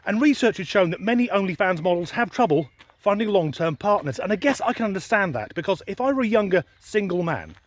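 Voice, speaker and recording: High, lively English man grumbling sardonically. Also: fairly quick. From England. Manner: dry, sweary, grumbling humor. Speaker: vlogger. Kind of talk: sardonic vlog monologue. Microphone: handheld camera filming himself.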